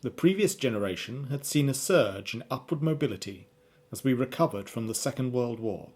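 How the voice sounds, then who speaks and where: A man narrates a radio drama from a script. His voice has a husky, slightly rough grain and sits at a medium pitch. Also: expressive, brisk, a little mellow.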